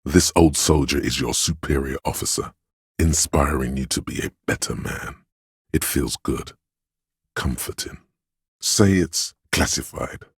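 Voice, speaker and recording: An actor playing a stern, commanding inner voice of police authority in a video game, giving imperious, clipped orders. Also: male voice, very deep voice, a little slow, husky, no echo, studio quality, heavily acted. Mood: solemn, proud. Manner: imperious, clipped orders, addressing listener as recruit. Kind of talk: video game character narration.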